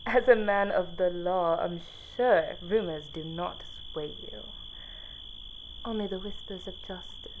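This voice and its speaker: A woman voicing a poised, cunning wealthy widow in an animated storybook drama, speaking in a formal, measured, taunting way. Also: fairly low pitch, natural voice, rough recording. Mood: pointed. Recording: clear night-time insect noise behind.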